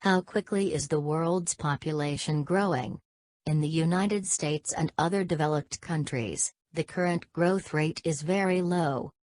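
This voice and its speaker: A woman's voice: sits low, a little slow, darkish tone, no room sound. A woman reading a scripted passage aloud as exam practice.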